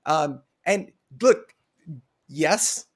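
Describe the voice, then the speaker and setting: Male panelist, high-pitched, casual explainer on a live talk show. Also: lively intonation, extremely slow. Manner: explanatory, informal.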